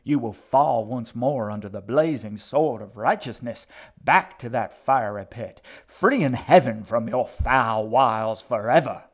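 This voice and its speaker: A man voicing a fanatical, hate-filled old woman who preaches righteously in a loud, grating voice for a story. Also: medium pitch, lively intonation, a little slow. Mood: furious preaching. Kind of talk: audiobook character acting.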